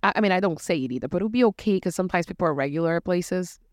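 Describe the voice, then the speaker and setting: A woman from Brazil, co-hosting a casual chat about bartending, animated and quick to act out customers' lines. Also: on the low side, no echo, clean studio sound. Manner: animated, acts out customers' questions with laughs. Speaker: podcast co-host, bartender.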